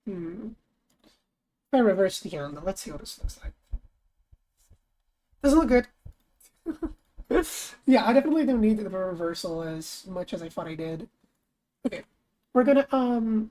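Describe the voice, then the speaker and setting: Mellow-toned young woman streamer chatting. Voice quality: voice lower than her usual after waking. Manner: chatty, thinks aloud, bursts of high excitement. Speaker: streamer and artist. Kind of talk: casual live-stream chat while working.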